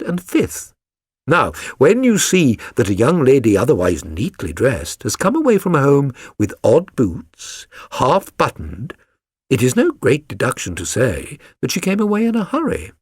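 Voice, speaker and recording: A man's voice: mid-range voice, breathy edge, dry recording, clean studio sound. A man voicing a keen, self-assured detective for an audiobook, with a British accent and a precise, lecturing, condescending manner. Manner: precise, didactic, theatrical. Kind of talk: dramatised audiobook reading.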